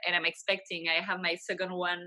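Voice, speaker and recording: A woman's voice: lowish voice, level tone, bright, forceful tone. A pregnant woman in her thirties from Belgium with a French accent, earnestly explaining finance in a casual interview. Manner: quick, earnest, restarts sentences. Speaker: guest, financial advisor.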